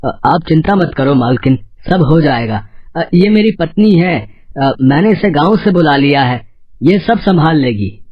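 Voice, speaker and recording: A man's voice: a little high, lively intonation, a little slow, no echo. A man playing an earnest, deferential adult son in a story, on a slightly dull, dry recording. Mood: obliging.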